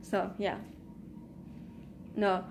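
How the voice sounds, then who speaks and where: A woman's voice: mid-range voice, flat delivery, very slow, creaky, a little hiss or hum. A teenage girl venting in a rambling, casual monologue, filmed on a phone at arm's length in a small room. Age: teens. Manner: rambling, restarts and trailing asides. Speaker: vlogger. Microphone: phone camera at arm's length.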